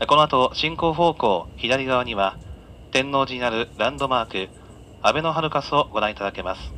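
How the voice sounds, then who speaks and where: Man, train conductor, bright clear-cut tone, medium pitch, polite formal announcement, on-board PA, faint running-train noise. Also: poor audio. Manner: polite, measured, formal delivery. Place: inside a moving train, over the announcement system.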